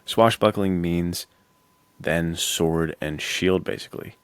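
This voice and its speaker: A man's voice: deep voice, slow, smooth, no echo. A man co-hosting a podcast in casual, slangy, enthusiastic chat. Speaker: podcast co-host.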